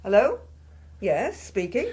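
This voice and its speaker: A woman's voice: medium pitch, huge pitch swings, slow pace, mellow, slightly rough, heavily acted. An actress playing an offhand female office worker in a British radio drama. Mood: concerned.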